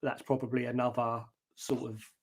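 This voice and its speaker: A man with a deep talking voice answers interview questions about his singing. He speaks in a relaxed, plain-spoken way, often pausing on an um before he finds his point, and his pitch sits around the middle for a man. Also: creaky, noisy background. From Britain. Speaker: guest singer.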